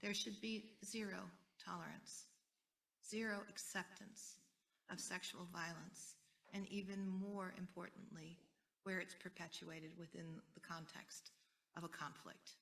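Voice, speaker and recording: An American woman speaking as a panelist at a conference, earnest and deliberate, repeating key phrases for weight. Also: lowish voice, fairly even intonation, creaky, a bit bright, distant mic, rough recording. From United States. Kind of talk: panel talk.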